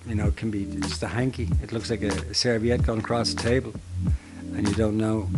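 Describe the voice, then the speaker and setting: A middle-aged Dublin man who manages a homeless service, answering interview questions earnestly with many halting restarts. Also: lowish voice, level tone, loud music behind the voice. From Ireland.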